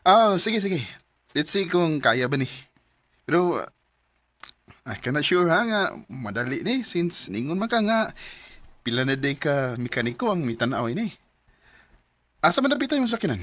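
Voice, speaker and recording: A woman's voice: sits low, very animated, normal speed, pauses often, no room sound. A woman playing a modest, good-humored young man in his mid-twenties who runs a motor shop. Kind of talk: amateur radio drama acting.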